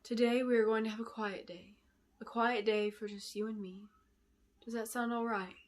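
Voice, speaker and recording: A woman voicing a gentle, preoccupied female ruler speaking to her young apprentice in a fantasy storybook. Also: on the high side, steady tone, normal speed, natural voice. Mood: calm. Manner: gentle, restrained.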